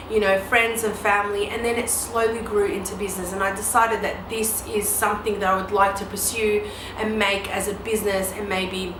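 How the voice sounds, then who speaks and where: A woman in her mid-thirties, a photographer answering viewer questions in a casual, chatty way with many ums and you-knows. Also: mid-range voice. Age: 30s. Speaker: newborn photographer and educator. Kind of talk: casual Q&A vlog. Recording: echoey room with steady background noise.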